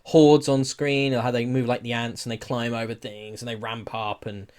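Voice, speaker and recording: A man's voice: mid-range voice, little pitch movement, normal speed, a little mellow, smooth. A man in casual podcast banter, conversational and often ending his points on tag questions. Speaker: podcast co-host.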